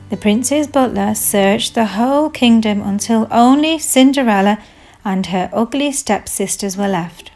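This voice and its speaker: A woman narrating a children's storybook in a measured, expressive read-aloud manner. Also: a little high. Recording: music behind the voice. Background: music.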